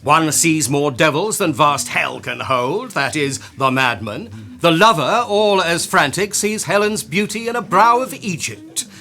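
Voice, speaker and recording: An actor playing a grand, genial duke in a Shakespearean radio play, declaiming theatrically. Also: male voice, on the high side, lively intonation, measured pace, bright, forceful tone, full character voice. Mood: musing.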